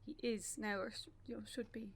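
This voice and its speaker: Radio play: actress as bereaved young Irish mother, voice on the high side, halting, stubborn, raw with grief, naturalistic. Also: steady tone, husky. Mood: halting, grieving. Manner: halting, with pauses and repeated phrases.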